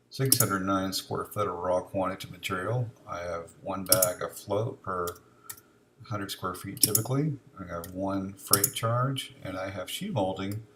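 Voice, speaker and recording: Low voiced guy walking thru software step by step, casual, noisy recording w typing and clicks. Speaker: software demonstrator. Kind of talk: casual step-by-step screencast walkthrough. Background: noise with keyboard typing and mouse clicks.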